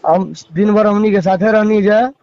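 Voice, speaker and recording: Male caller, Bhojpuri accent, high-pitched, admonishing in an argumentative conversation over a muffled phone recording. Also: fairly even intonation, moderate pace, a loud crowd. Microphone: phone call.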